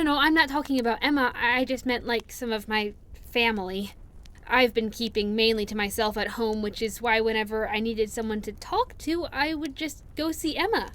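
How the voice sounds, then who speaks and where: A woman's voice: sits high, a bit bright. A woman playing a shy, anxious, affectionate young friend in an audio roleplay, speaking in a hesitant, rambling, earnest way. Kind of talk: interactive audio roleplay acting.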